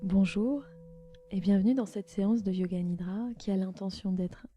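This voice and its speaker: Female meditation guide, calm and gentle, mid-pitched, spaced phrasing, music underneath. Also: a little hiss or hum. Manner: calm, gentle, slow instructions with pauses. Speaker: podcast host and yoga nidra guide.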